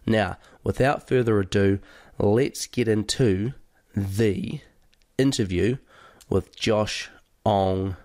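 A man's voice: lowish voice, slow pace, a little mellow, no echo. A man hosting a podcast while blocked up with a cold, chatting in an upbeat, casual way with bits of slang. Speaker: podcast host.

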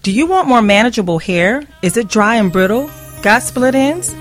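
A woman's voice: on the high side, expressive, normal speed. A woman reading a scripted beauty advertisement as a commercial announcer. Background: music bed.

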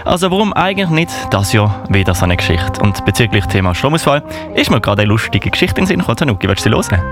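Male radio host, lively intonation, Swiss German accent, informal presenting, music behind. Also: on the low side, quick pace. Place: radio studio.

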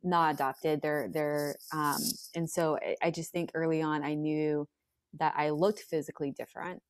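An American woman raised in Hong Kong, sharing her personal story as a podcast guest in a thoughtful, halting, searching way. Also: sits low, flat delivery, clear, resonant tone, no echo. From born in China, raised in Hong Kong in an American family. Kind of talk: reflective personal storytelling in conversation.

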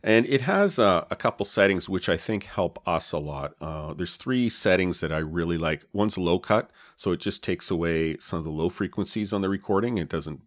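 A man with a low voice, co-hosting a podcast and explaining his gear in a relaxed way, with many fillers, on a dynamic mic with a pop filter. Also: moderate pace, hardly any pauses, no echo, studio quality. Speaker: podcast co-host. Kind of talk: casual chat about podcast gear. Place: home podcast setup. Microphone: dynamic podcast mic with pop filter and foam cover.

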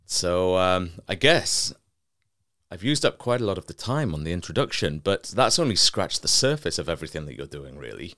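A man's voice: sits low, very animated, moderate pace, bright, forceful tone, no room sound, clean studio sound. A man hosting a podcast, introducing his guest with effusive praise and posing questions. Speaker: podcast host. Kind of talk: interview host introduction.

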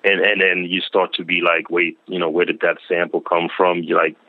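A man from Denmark, a producer and DJ, chatting casually in an interview, relaxed and full of fillers and repeated words. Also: sits low, normal speed, dry recording. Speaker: music producer and DJ guest. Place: lives in Queens. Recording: tinny phone-like line.

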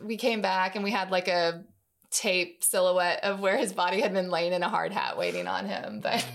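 A woman's voice: medium pitch, fairly even intonation, moderate pace, vocal fry. A woman being interviewed as an employee, chattily telling informal stories about working life. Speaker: interview guest, long-time company employee. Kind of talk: casual workplace storytelling.

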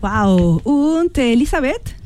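Slow, mellow woman radio host. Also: high-pitched, big pitch swings. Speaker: radio host and workshop leader. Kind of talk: partly scripted radio presentation with informal questions.

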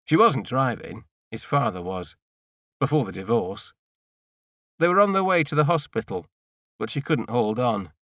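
A man reading a first-person novel in an understated, dry, clearly spoken storytelling manner. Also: mid-range voice, fairly bright tone, dry recording. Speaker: audiobook narrator.

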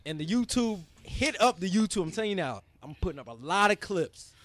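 Fast-talking joking male podcast host. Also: high-pitched, very animated, fairly bright tone, no echo. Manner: joking, rapid, laughing often. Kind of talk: casual chat.

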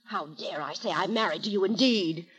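An actress playing a spirited, sharp-tongued young woman with a snappy, wry manner in 1930s radio comedy. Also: lowish voice, normal speed, lightly acted. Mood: indignant, teasing. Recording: vintage 1930s radio broadcast.

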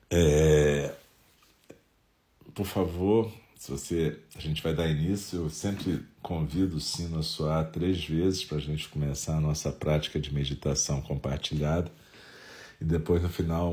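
A Brazilian man with a nasal, stuffy voice from a head cold, calmly leading a Zen meditation talk. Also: a bass voice, measured pace. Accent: Brazilian Portuguese.

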